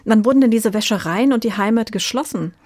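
A woman's voice: a little high, a little fast, darkish tone, no room sound. A woman presenting a review programme, moving between interview questions and scripted announcements. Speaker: radio presenter.

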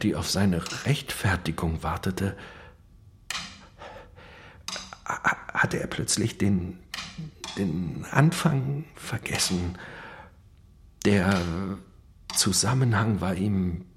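An actor playing a brooding ex-convict who narrates in a radio play, intense and deliberate. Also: male voice, low-pitched, noisy background. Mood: faltering. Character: brooding male ex-convict recounting his trial.

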